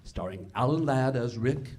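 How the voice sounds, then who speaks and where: A man's voice: medium pitch, expressive, moderate pace, darkish tone. A man announcing and narrating in 1940s radio style, formal and theatrical.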